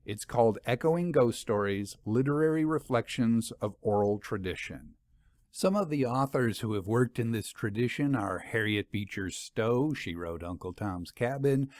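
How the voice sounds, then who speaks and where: A man's voice: mid-range voice, lively intonation, moderate pace, darkish tone, no echo. Male podcast host, conversational and reflective, puts questions to the listener; mid-range voice, very dry recording. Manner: musing, asks rhetorical questions. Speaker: podcast host and anthology editor.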